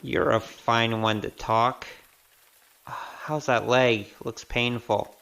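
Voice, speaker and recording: A man's voice: mid-range voice, fairly even intonation, slow, vocal fry, fairly bright tone, smooth. A man playing a breezy, chatty wandering rogue with a wry streak in an audio drama. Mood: groggy, sardonic.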